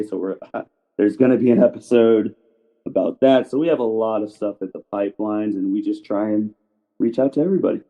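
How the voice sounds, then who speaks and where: A male podcast host speaks in a lowish voice, chatting casually. His audio is thin and phone-like, as if coming over a remote call.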